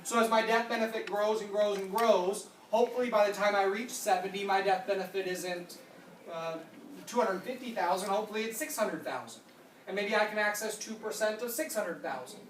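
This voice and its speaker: A trainer in their thirties explains policy details to a room and answers audience questions with brisk yeses and nopes. The voice sits at a middle pitch. It is picked up from a distance in a roomy, echoing space with faint noise behind. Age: 30s. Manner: explanatory, answers questions with quick "nope" and "yep". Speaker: insurance product trainer. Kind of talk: training presentation with Q&A. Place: conference room.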